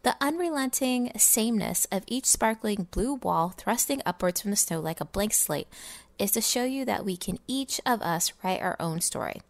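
A woman's voice: medium pitch, animated, normal speed, vocal fry, no echo. A woman voicing a pompous, scornful miserly businessman in a dramatic storybook reading of fantasy game lore. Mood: scornful, knowing. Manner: theatrical, pompous, scornful delivery. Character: miserly, sneering, self-satisfied businessman narrating holiday memoir.

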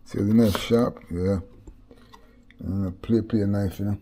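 A man's voice: on the low side, fairly even intonation, measured pace, mellow. A middle-aged Jamaican man chatting casually while he cooks in his home kitchen, slipping into Patois with laid-back asides. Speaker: cooking vlogger. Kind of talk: casual cooking chat with storytelling. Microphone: head-mounted camera, hands-on cooking.

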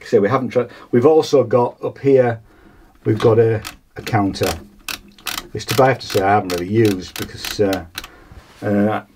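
A man in his sixties with a husky voice gives an informal hands-on demonstration, explaining hesitantly as he thinks aloud. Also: lowish voice, steady tone, normal speed, long pauses, darkish tone, slight background noise. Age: 60s. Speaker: vlogger demonstrating vintage audio gear. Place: small home studio with foam panels, indoors.

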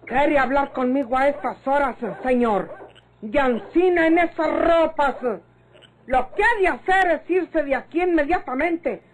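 A young woman from the Mexican countryside in a golden-age radio drama. Her voice is very high and slowly paced, fearful yet fiery, with a rural Mexican accent on a noisy old recording. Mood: indignant.

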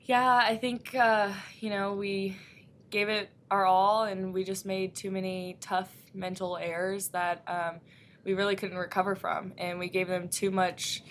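A young woman with a lowish, flat voice answers a press question, measured and pausing on "um"s. She speaks into a table mic, with a faint rumble behind her. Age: young adult. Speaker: college volleyball setter. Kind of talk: postgame press conference answers. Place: press room, seated at a table. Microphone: table mic on a stand.

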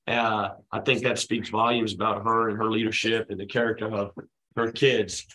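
A man in his forties, a head basketball coach, answering questions at a postgame press conference in a relaxed, conversational way with many fillers, speaking into a table microphone. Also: on the low side, moderate pace, poor audio. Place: press room at a team table, his children beside him.

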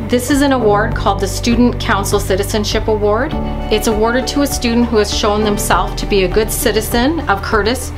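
A woman's voice: medium pitch, fairly quick. Woman announcing a school award over music.

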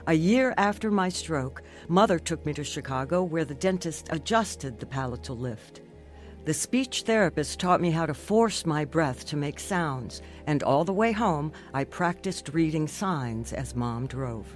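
A woman's voice: deep voice, expressive, unhurried, faint music behind. An actress playing a determined woman who narrates her own recovery in a scripted radio drama.

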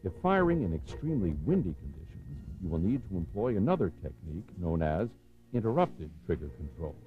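An American man reading scripted instructional narration for a training film, measured, authoritative and clearly enunciated. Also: deep voice, big pitch swings. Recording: dull, lo-fi 1990s videotape soundtrack.

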